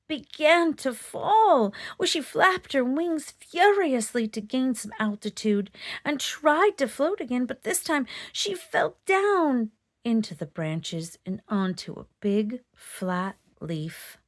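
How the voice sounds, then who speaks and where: A woman storyteller reading a children's picture book aloud in an expressive narrating style. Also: high-pitched, takes it slowly, no echo, studio quality.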